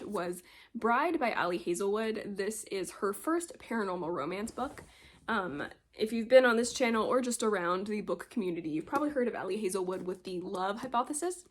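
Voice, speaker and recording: A woman with a slight cold talks through her monthly reading in a loose, rambling chat, laughing softly now and then. Her pitch sits in an ordinary middle range, and her low energy shows. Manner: chatty, rambling, often laughs. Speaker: book vlogger. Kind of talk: casual book review chat. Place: home, at a desk with mugs.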